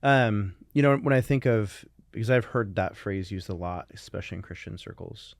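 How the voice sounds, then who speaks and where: Low-voiced man in close, dry conversation. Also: normal speed, gravelly, no echo. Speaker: podcast co-host, recovery coach.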